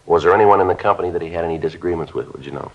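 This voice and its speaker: An actor playing a calm, terse police sergeant in a 1950s radio crime drama, asking flat, matter-of-fact questions. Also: male voice, sits low, very fast. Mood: calm questioning. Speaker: detective narrator. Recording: muffled old radio recording.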